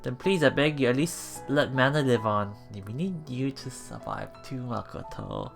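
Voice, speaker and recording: A let's player reading a visual novel aloud in a halting way, with emotionally invested asides. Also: medium pitch, big pitch swings, vocal fry. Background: game music.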